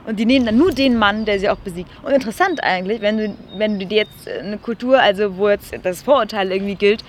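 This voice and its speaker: A woman's voice: medium pitch, quick pace, slight background noise. A young woman, a student, chatting casually and thinking aloud with some hesitation. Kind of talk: casual chat.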